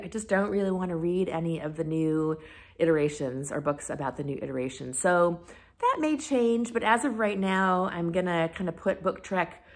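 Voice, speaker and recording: A woman's voice: fairly low pitch, gravelly. A woman talking casually and candidly to her viewers as a book vlogger, leaning on key words for emphasis. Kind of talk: casual chatty monologue to camera about reading plans.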